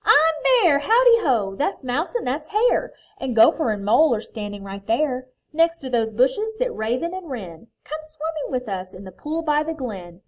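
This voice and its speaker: A woman voicing a big friendly bear in a children's picture book, calling out curious questions with loud emphasis. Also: fairly high pitch, huge pitch swings, measured pace, exaggerated voice. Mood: friendly, welcoming.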